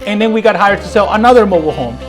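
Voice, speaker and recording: A man's voice: sits high, lively intonation, racing, faint music behind, low-quality recording. A middle-aged man from Mexico, a real estate agent telling his story in a casual interview, earnest and repeating phrases for emphasis.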